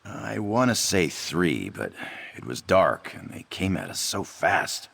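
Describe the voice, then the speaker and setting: A man's voice: sits low, very animated, slow, creaky, fairly bright tone, husky, dry recording, exaggerated voice. A man voicing an anxious, self-doubting young cat-man trader in a fantasy video game, fretful and hesitant. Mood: troubled, recounting.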